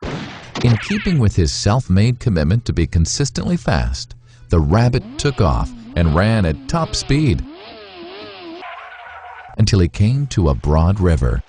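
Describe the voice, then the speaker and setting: A man narrating a scripted moral fable in a measured, instructive way, with deliberate phrasing. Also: low-pitched, wildly swooping pitch, mellow, faint music behind. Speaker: storyteller, narrator.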